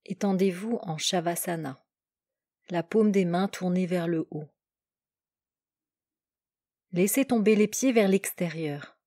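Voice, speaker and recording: A woman leading a guided relaxation meditation as a yoga nidra instructor, giving calm, measured instructions in short, separated phrases. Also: on the low side, no echo, studio quality.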